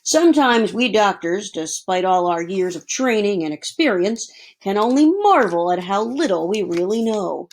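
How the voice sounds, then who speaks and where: A woman voicing a kindly, puzzled male family doctor in a storybook, measured and reassuring. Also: medium pitch, expressive. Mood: musing.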